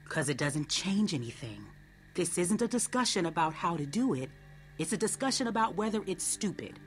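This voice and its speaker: Very low-voiced, tough survivor. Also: female voice, sing-song, normal speed, faint music behind. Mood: firm, dismissive. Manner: blunt, dry. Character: tough, sceptical, level-headed adult female survivor. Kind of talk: dramatic video game acting.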